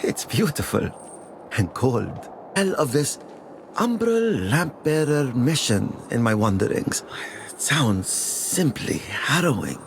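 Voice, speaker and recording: A man playing a gentle, curious character who muses softly and hesitantly in a dark fantasy game cutscene. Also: medium pitch, very animated, takes it slowly, creaky, a little hiss or hum, heavily acted. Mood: wistful, musing.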